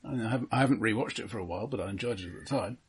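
Husky, low-voiced man, halting delivery. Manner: halting, stammering delivery with repeated words. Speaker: podcast co-host. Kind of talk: casual film discussion.

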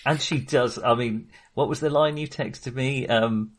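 A man's voice: mid-range voice, unhurried. A man in an enthusiastic, jokey, digressive film discussion, talking casually as a co-host.

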